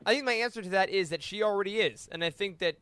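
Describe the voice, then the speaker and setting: An American man talking casually as a panelist in a fan discussion, with an animated, joking, emphatic manner. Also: high-pitched, fast talker, clean tone, no echo. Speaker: podcast panelist.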